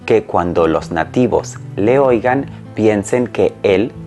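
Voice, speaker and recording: A man in his forties, a native Spanish speaker, explaining carefully and clearly as a language teacher talking to camera. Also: on the low side, expressive, slow, warm tone, faint music behind. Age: 40s. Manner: clear, careful, didactic phrasing. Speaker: Spanish teacher and channel host. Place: small room at home, indoors.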